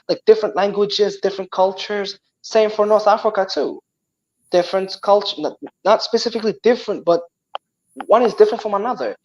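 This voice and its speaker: Moroccan guy, tamazight speaker, fairly high voice, keeps restarting his words, casual chat. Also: rough recording. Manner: animated, frequent restarts and repeated words. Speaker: guest.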